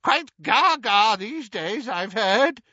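Shrill old storybook aunt, played by a man: high, wildly swooping, gossipy, spiteful and gleeful. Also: wildly swooping pitch, unhurried, bright, forceful tone, no room sound, dull audio, heavily acted. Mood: conspiratorial. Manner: relishing scandal, emphatic swooping delivery.

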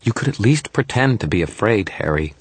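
A tiny male fairy from a fantasy audiobook, pitched well above the narrator's natural voice. He sounds like a haughty, greedy little kid, indignant and grandiose, forever complaining. The recording is close and dry. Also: sing-song, fairly quick, slightly rough, dry recording. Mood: sulky. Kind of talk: audiobook character voice.

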